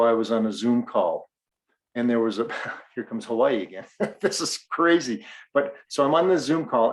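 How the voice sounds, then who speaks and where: A man's voice: medium pitch, rough recording. A middle-aged man from Western Canada with a faint Canadian accent, co-hosting a podcast and telling stories while acting out dialogue. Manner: animated storyteller, acts out dialogue. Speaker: podcast co-host. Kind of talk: casual podcast chat.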